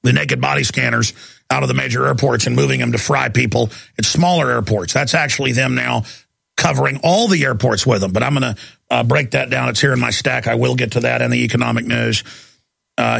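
A man's voice: medium pitch, a little fast, creaky, a bit bright, slightly rough, no echo. An American man hosting a live political call-in radio show, talking in an emphatic, opinionated way. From United States. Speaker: talk radio host.

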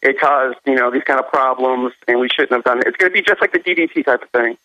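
Rambling male caller, bright and quick, on a dull phone line. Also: mid-range voice, dry recording. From Illinois. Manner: rambling and fast, restarts his sentences. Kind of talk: calling in to talk radio. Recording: dry phone-line sound.

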